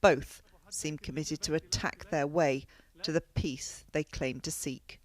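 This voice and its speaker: A woman's voice: deep voice, steady tone, slow. A woman delivering a scripted television news report as a correspondent.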